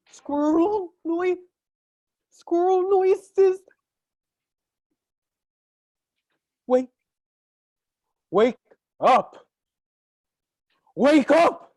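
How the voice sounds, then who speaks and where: Woman playing a slow-talking, pompous prophetic squirrel. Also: very high voice, lively intonation, darkish tone, no room sound, exaggerated voice. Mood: urging. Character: prophetic squirrel, smug and scornful toward humans. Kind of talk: staged reading of an allegorical play.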